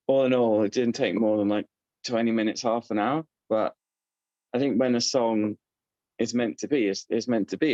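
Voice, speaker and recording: A man's voice: on the low side, darkish tone, dry recording. A man from North Devon, a guest songwriter chatting hesitantly about his song. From North Devon, England. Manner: hesitant, many ums and restarts. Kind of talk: casual podcast chat. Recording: dull, dry sound.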